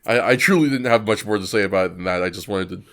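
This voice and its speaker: A man's voice: fairly low pitch, rapid-fire, fairly bright tone. A young adult man co-hosting a casual podcast, eager and prone to stammering restarts. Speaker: podcast co-host.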